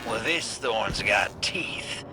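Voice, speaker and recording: A slow-talking, cocky game rogue. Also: male voice, fairly low pitch, lively intonation. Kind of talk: video game character acting. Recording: noisy ambience behind the voice.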